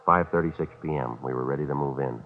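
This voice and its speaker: No-nonsense cop, deep male voice, quick flat delivery, matter-of-fact, 1940s radio drama, thin old recording. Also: phone-quality sound, soft background music. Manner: clipped, deadpan, matter-of-fact. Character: terse, businesslike, no-nonsense male police detective. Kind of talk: 1940s radio acting with scripted narration.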